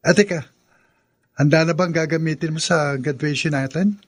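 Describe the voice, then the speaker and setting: A man's voice: a little high, fairly even intonation, moderate pace, close to an everyday voice. An actor playing a friendly, concerned young male classmate in a radio drama, chummy and inquisitive. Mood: concerned, curious.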